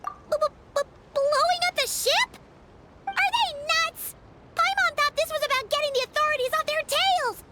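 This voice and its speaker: A super high squeaky little floaty companion girl, jumps all over, bright and fussy, game music faint behind. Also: background noise. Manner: fussy, talks about herself in third person. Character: tiny floating female companion, excitable and chatty. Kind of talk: video game voice acting.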